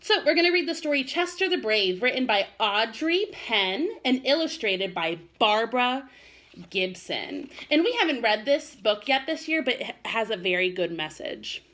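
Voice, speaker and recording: A woman's voice: fairly high pitch, big pitch swings, moderate pace, a bit bright. A woman first-grade teacher talking reassuringly to young children and reading a picture book aloud. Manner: addresses children directly, reassuring. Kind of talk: read-aloud for young children, chatty address and storybook narration.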